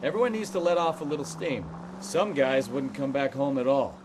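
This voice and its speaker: An actor playing a jaded, sardonic police detective in a video game, cynical and wisecracking. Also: male voice, mid-range voice, expressive, normal speed, noisy background, lightly acted.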